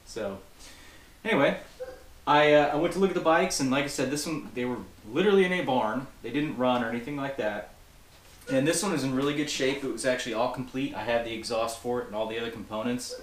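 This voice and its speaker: Man, young adult, middle pitch, relaxed rambling chat, camera mic at a distance, roomy garage echo. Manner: relaxed and rambling, many restarts. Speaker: motorcycle restoration vlogger. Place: home garage, indoors. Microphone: camera-mounted mic at a distance. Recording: echoey garage.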